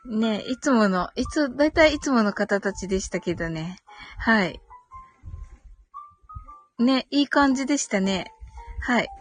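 A woman's voice: a little high, expressive, measured pace, long pauses. A woman hosting a casual live audio stream, reading out listener comments and replying in a polite, agreeable way with frequent little murmurs of acknowledgement. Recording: music playing behind the voice. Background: background music.

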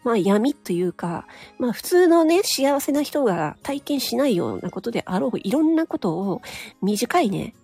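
A woman with a higher, breathy voice that swings up and down lively as she talks. She speaks alone in a frank, chatty monologue, full of little nodding asides, while faint music plays behind her. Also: normal speed. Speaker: solo audio broadcaster. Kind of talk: casual solo monologue.

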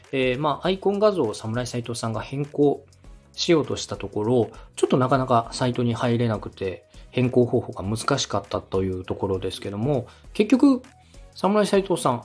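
A man's voice: medium pitch, a little mellow, slightly rough. A man hosting a podcast, explaining and giving advice in a polite, instructive way. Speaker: podcast host and radio director. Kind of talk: explanatory coaching talk on a podcast.

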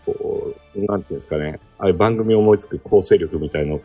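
A man chatting casually as a guest on a live audio show, politely and haltingly, thinking aloud as he goes. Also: low-pitched, mellow, slightly rough. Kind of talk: casual chat. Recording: background music under the voice.

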